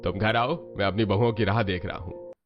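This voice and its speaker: A man voicing a calm, reassuring older city father in a cartoon story. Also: fairly low pitch, brisk, pitched below the performer's own voice, natural voice. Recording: dull sound under loud music.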